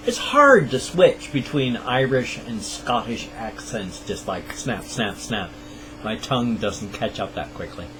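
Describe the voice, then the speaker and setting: A man's voice: medium pitch, animated, a little slow, noisy background. A middle-aged man hosting his own channel, chatting casually to camera in a rambling, self-deprecating way. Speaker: channel host. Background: guitar music.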